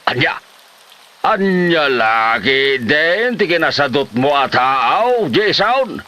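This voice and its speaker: A man's voice: a little high, sing-song, slow pace, bright, forceful tone, exaggerated voice. A man playing an exasperated older village father figure who scolds and exclaims in a comedy drama. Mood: scolding.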